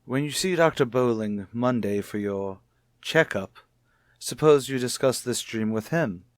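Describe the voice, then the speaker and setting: An actor playing a reasonable, soothing husband in an old radio soap, speaking in a measured, explanatory way. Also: male voice, medium pitch, lively intonation, no echo, studio quality, natural voice. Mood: gently persuasive. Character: reasonable, soothing husband confiding in his anxious wife. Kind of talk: mid-century radio soap acting.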